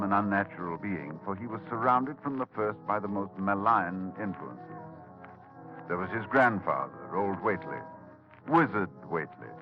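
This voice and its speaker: A man narrating a horror radio drama in a grave, measured storytelling manner. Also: fairly low pitch. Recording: dull old radio broadcast.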